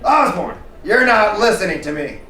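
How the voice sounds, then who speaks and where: A man's voice: sits high, very animated, normal speed, sharp tone, exaggerated voice. A man playing a blustering, bombastic, grouchy newspaper boss from a comic book. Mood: ranting. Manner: blustering, indignant, bombastic declamation. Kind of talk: comic book dramatic reading before an audience. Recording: echoey, distant live recording with noise.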